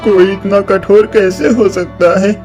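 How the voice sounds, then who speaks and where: Man acting high-pitched little orphan cartoon boy. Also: steady tone, measured pace, a little mellow, soft background music. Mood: sorrowful. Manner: plaintive, earnest. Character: poor, pleading young orphan boy. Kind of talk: Hindi moral-story cartoon dub.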